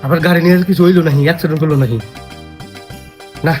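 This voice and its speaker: A man playing a gullible, fretful rich village gentleman in a comic skit, with a rural Bangladeshi accent and a questioning, exclamatory way of talking. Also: fairly high pitch, fairly even intonation, rapid-fire, soft background music, lightly acted. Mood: worried.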